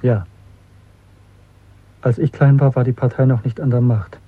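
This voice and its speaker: A man's voice: medium pitch, steady tone, unhurried, breathy edge, dry recording. An actor playing a bitter, haunted male dissident in a German radio drama, speaking earnestly and insistently. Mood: somber, remembering. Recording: muffled, narrow phone-like sound.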